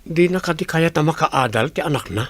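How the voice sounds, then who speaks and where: A man's voice: a little high, expressive, fairly quick, no room sound. A man acting an earnest, guarded young suitor with an Ilocano accent in a radio comedy drama. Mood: earnest, insistent.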